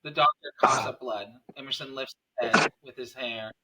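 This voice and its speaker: A man's voice: mid-range voice, steady tone, normal speed, very noisy. A man reading scene headings and stage directions as narrator at a script table read.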